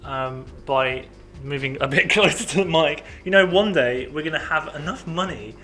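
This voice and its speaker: A man's voice: fairly high pitch, normal speed, bright, forceful tone. A young man co-hosting a casual podcast, chatting and joking with lots of ums and laughs. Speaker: podcast co-host. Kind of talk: casual chat over beer.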